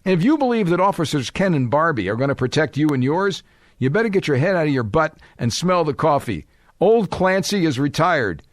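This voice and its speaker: A man with a slightly high voice and a very animated, swooping delivery, recorded close and dry. He reads aloud as a talk radio host, leaning on words with sardonic emphasis and adding his own asides. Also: brisk, dry recording. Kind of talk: reading a letter aloud with asides.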